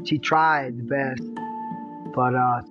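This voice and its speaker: A man in his fifties from Cuba, raised in the United States, haltingly recalling his own life. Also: mid-range voice, steady tone. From born in Cuba, raised in the United States. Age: 50s. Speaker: interview subject. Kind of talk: personal recollection. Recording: dull lo-fi sound, music behind. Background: plucked guitar music.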